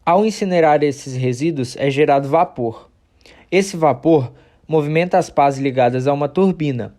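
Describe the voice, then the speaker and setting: A man's voice: a little high, warm tone, lightly acted. A man with a Brazilian accent playing a diligent student who recites a textbook-like explanation in an amateur radio play. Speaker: student in class.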